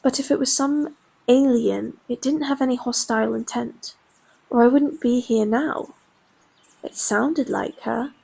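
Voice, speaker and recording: A subdued, grieving young woman, played by a woman in an audio drama. Her voice is husky and gravelly and sits fairly high. She speaks haltingly, over a faint music bed. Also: fairly even intonation, a little mellow, exaggerated voice, rough recording.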